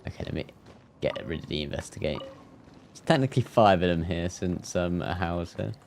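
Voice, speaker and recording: A man's voice: low voice, animated, normal speed, vocal fry, darkish tone, slight background noise. A man giving casual live gameplay commentary as a streamer, with chatty asides.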